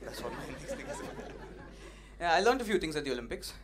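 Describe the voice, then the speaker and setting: Young comedian, punchy delivery. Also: male voice, fairly high pitch, quick pace, a crowd in the background. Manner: punchy, animated, teasing the audience. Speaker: stand-up comedian. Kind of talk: stand-up comedy set. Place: comedy club stage. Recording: noisy live club recording.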